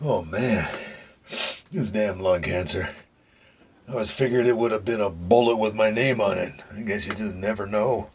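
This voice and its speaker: An actor playing a dying retired Secret Service agent in an audio drama, older, gruff and folksy, weary and confiding, with dry humor. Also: male voice, lowish voice, normal speed, a little hiss or hum, natural voice. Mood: resigned, confiding.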